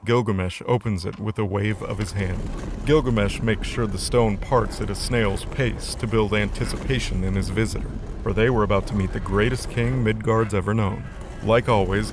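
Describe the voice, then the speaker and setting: A man's voice: fairly low pitch, fairly even intonation, moderate pace, noisy background. A man narrating a scripted audio drama as its storyteller.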